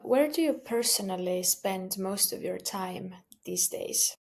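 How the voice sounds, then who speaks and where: A woman hosting a podcast, asking measured, polite interview questions. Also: medium pitch, expressive, creaky, darkish tone. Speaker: podcast host.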